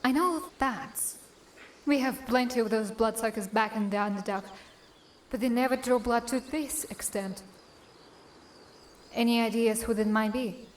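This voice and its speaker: Woman acting as female drow assassin, highish voice, dry sardonic manner, guarded, fantasy game, faint noise behind. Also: unhurried, long pauses, natural voice. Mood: sardonic musing. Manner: dry, sardonic, muttering to herself. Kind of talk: acted fantasy game dialogue and monologue.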